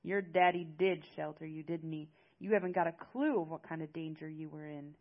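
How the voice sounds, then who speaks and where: A woman voicing a gruff, protective old rural man in an audiobook, with a folksy drawl. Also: high-pitched, fairly even intonation, moderate pace, highs cut off. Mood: storming mad. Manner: folksy drawl with rustic grammar.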